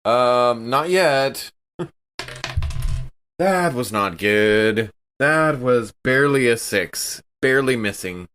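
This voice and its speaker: A man playing a tabletop game, chatting and improvising casually with an expressive, dramatic manner. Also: mid-range voice, takes it slowly, clear, resonant tone.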